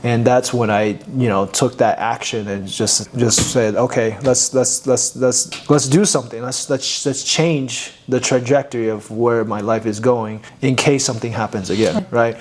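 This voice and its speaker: A man's voice: medium pitch, fairly even intonation, normal speed, few pauses. Man, podcast host, enthusiastic, stumbling restarts, faint noise behind. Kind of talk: podcast interview.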